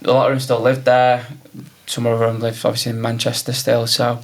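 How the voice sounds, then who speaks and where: Gravelly-voiced man chatting with laughs. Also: medium pitch, brisk. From North Wales. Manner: laughs often, relaxed and chatty. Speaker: podcast guest, coach. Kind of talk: casual interview chat.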